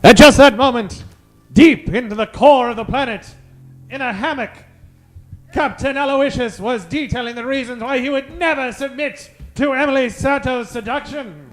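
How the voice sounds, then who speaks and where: A man's voice: extremely high pitch, moderate pace, faint music behind. A man narrating a comic old-time radio serial in a theatrical manner.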